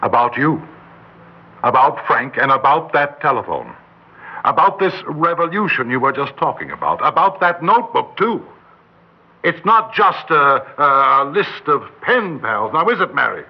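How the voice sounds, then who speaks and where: An actor playing an earnest, suspicious schoolteacher in an old-time radio drama, speaking in an insistent, probing way. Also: male voice, a little high, big pitch swings, a little slow, vocal fry, breathy edge, full character voice. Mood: insistent, bewildered. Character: earnest, suspicious male schoolteacher narrating and questioning a pupil. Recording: muffled, hissy old-time radio recording.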